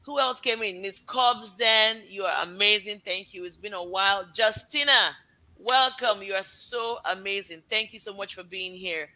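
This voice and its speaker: A woman's voice: medium pitch, normal speed, sharp tone, rough recording. A woman with a Nigerian accent mixed with American tones, hosting a casual live stream and giving effusive, heartfelt, rambling thanks to her guests and audience. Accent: Nigerian with American mix. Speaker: live show host, parent.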